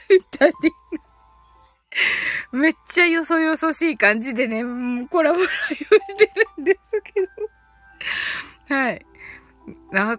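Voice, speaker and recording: Female livestream host, high voice, chatty and giggly, halting delivery in short casual phrases. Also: a bit bright. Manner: halting, filler-heavy, short phrases with frequent "ne" tags. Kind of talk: casual livestream chat, greeting listeners and reading comments.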